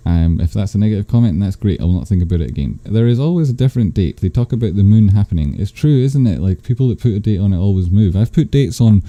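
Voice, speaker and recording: A man's voice: lively intonation, fast, hardly any pauses, mellow, dry recording, muffled recording. A man from Scotland hosting a live stream, reading chat comments aloud with dry, teasing, rambling asides. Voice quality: bassy voice. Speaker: stock stream host. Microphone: desk microphone.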